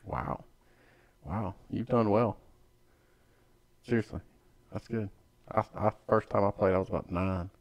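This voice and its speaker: A man's voice: fairly low pitch, fairly even intonation, unhurried, long pauses. A man hosting a casual podcast chat in an easygoing, teasing way. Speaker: podcast host. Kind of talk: casual chat about golf.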